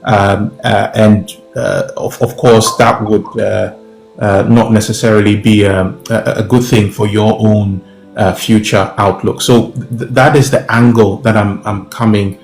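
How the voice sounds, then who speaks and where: Low-voiced Ghanaian man, halting and measured. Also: flat delivery, gravelly, a little mellow. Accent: Ghanaian English. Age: young adult. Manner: measured, many fillers and restarts. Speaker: professor and guest. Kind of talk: interview answers. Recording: roomy with music behind.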